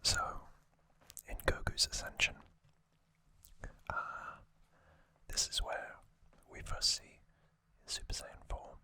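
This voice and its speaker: Slow, bright whispering man. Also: no echo. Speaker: host of a whispered trivia and review show. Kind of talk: ASMR whispered commentary.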